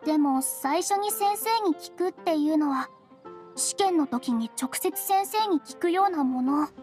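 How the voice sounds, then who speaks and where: A woman voicing an earnest, studious young female researcher in a Japanese video game, thoughtful and gentle. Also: high voice, lively intonation, unhurried. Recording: music playing behind the voice.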